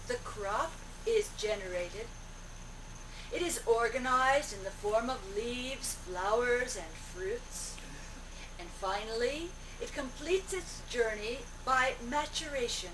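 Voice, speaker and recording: A woman delivering a spiritual discourse in deliberate, declarative short phrases, as a speaker relaying a teaching aloud. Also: on the high side. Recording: distant mic, noisy amateur video.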